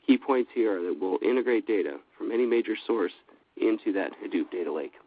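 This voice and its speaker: A man with a cold giving a steady, businesslike webinar presentation, with frequent fillers. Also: on the low side, fairly quick, no room sound. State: has a cold. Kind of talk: scripted product presentation. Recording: dry, phone-like line.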